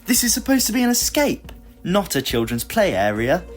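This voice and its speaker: A man's voice: high-pitched, wildly swooping pitch, normal speed, soft background music, voice pushed up. A man voicing a forthright, exasperated young female companion in an audio adventure. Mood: shocked, exasperated.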